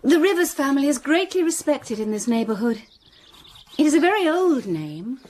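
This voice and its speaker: An actress playing a spoiled but good-humoured young heiress in a radio drama, chattering frankly. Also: female voice, high-pitched, very animated, moderate pace, a little mellow. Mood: gossipy. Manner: chattering, frank, lightly teasing.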